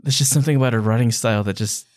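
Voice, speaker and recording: A man co-hosting a podcast, chatting casually about books in a halting, playful, conversational way. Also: mid-range voice. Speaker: podcast co-host. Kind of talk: casual book discussion chat.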